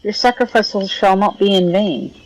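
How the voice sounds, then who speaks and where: A woman's voice: medium pitch, normal speed, dry recording, poor audio. A woman giving casual, playful gameplay commentary, exclaiming and talking to herself as she goes. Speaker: gaming streamer. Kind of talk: casual game playthrough commentary. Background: video game music.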